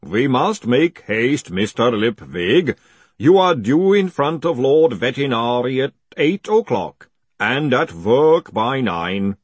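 Storybook giant golem: booming, slow and deliberate, cheerfully formal and relentless, in a dry close recording. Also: male voice, big pitch swings, slightly rough, no echo, full character voice. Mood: brisk, formal. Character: huge cheerful booming male golem parole officer.

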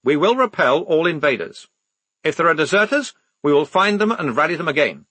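A man's voice: a little high, very animated, a little fast, fairly bright tone, slightly rough, dry recording, pitched above the performer's own voice, full character voice. A man voicing a nervous, earnest young lieutenant who talks in a formal, speechmaking way. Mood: defiant.

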